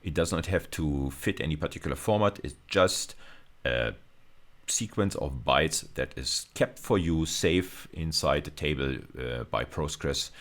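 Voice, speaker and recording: A man lecturing at university level in an extremely low, deep voice. He explains his material step by step in a measured way, often restating a phrase before moving on.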